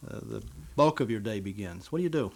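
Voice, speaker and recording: A man's voice: lowish voice, lively intonation, quick pace. An American man acting as guest host and interviewer in an informal radio interview.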